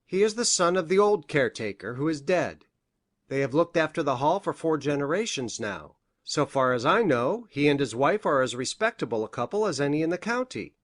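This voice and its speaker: Woman putting on an animated, earnest gentleman doctor. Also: deep voice, fairly quick, no echo, clean studio sound, voice pushed down. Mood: earnest, informative. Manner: precise, formal. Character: earnest gentleman doctor, respectable and easily startled.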